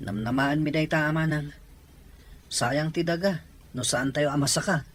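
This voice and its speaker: Husky worried Filipino farmer, acted by a man. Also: fairly high pitch, normal speed, a bit bright. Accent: Filipino Ilocano. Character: worried Filipino farmer, attached to his land.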